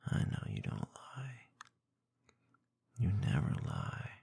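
A man performing intimate ASMR roleplay in a soft, breathy, gravelly murmur close to the microphone, with a teasing manner. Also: dry recording. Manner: soft, teasing, slow murmuring with small chuckles and hums. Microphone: close binaural microphone.

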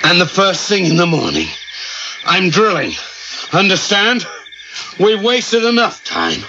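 A stubborn, paranoid space explorer speaks slowly, his pitch swinging wildly. He sounds ominous and contrary, in a muffled, noisy radio play recording. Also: huge pitch swings, music in the background, full character voice. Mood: agitated, defiant. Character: suspicious, stubborn, paranoid man on a space expedition. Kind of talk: radio drama acting.

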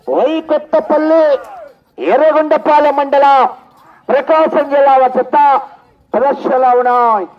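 A man with an Andhra Telugu accent giving live contest commentary as an event announcer, repeating his announcements and throwing in exclamatory cries. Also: extremely high pitch. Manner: repetitive announcing broken by loud exclamatory cries. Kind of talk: live contest commentary over a public address. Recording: dull lo-fi sound.

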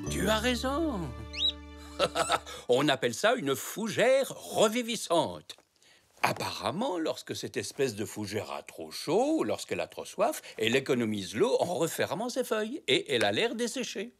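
Very animated old grandpa zebra. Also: male voice, fairly high pitch, normal speed, breathy edge, soft background music, full character voice. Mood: curious, puzzled. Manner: animated, courteous, expressive. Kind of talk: French children's cartoon acting.

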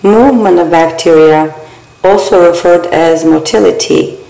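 Low warm lady reading microbiology slides, steady pace, little slips, kinda gravelly. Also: measured pace, echoey room, rough recording. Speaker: barbering theory instructor. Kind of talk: reading exam slides aloud.

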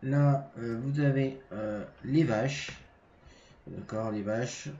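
A French man walks through a game in a casual, halting way, with a stuffy nose from a cold and many pauses and restarts. His voice is mellow and dark. The home mic sounds a bit roomy and dull, with faint noise behind. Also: mid-range voice. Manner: hesitant, many fillers and restarts. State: has a cold. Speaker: gaming channel host. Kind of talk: casual game walkthrough commentary.

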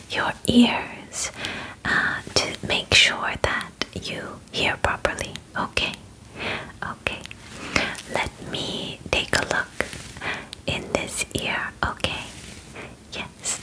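Slow whispering young woman, ASMR. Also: poor audio. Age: 20s. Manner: soft, unhurried, soothing, close personal attention. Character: calm, methodical alien medical examiner, polite and attentive. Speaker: ASMR performer playing an alien examiner. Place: small indoor bedroom studio. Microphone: close ASMR microphone.

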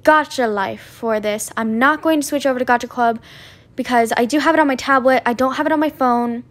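A woman's voice: sits high, fairly quick, fairly bright tone. A young woman chatting casually as a content creator, giving an informal update.

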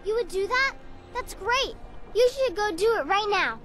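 A woman's voice: very high voice, lively intonation, normal speed, music in the background. A woman playing a chatty, innocent little village girl in a fantasy video game, earnest and childlike. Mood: excited. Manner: wide-eyed, childlike, earnest.

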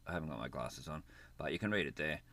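A man's voice: very deep voice, lively intonation, fast, pushed and bright, no room sound. A man giving a casual, unscripted hands-on gadget demonstration in a plain-spoken way. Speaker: gadget reviewer. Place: indoors at a desk, near a computer.

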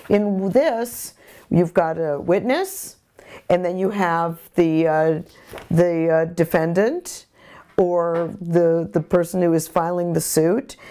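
A middle-aged woman giving informal art instruction in deliberate, explanatory short phrases. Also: lowish voice, warm tone. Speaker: courtroom artist giving instruction. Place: small bright home studio, indoors by a window.

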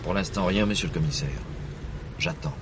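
An actor playing a stiff, dutiful subordinate police officer in a French radio play, formal and guarded. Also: male voice, deep voice, sing-song, a little fast, creaky, exaggerated voice. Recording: noisy archive drama recording, vehicle ambience.

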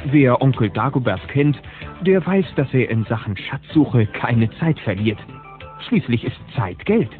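A man narrating a children's cartoon audio play as an animated storyteller. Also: medium pitch. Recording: muffled narrowband cassette, music behind.

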